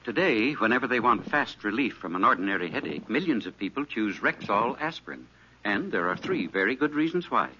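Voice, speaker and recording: A male radio announcer delivers an old-time commercial. His delivery is emphatic and highly animated. The recording is muffled and clearly noisy. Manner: emphatic, measured enumeration. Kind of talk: 1940s-50s radio ad delivery.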